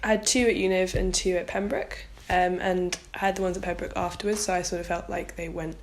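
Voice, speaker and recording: A young woman, a philosophy and psychology student, telling about her interviews in a halting way that keeps losing the thread. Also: fairly low pitch, fairly even intonation. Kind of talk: casual interview answers.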